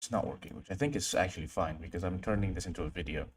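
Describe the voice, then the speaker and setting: A man's voice: low-pitched, racing, a crowd in the background. A young man from Indonesia, chatting casually on a livestream while he works, often mumbling to himself and trailing off. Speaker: streamer.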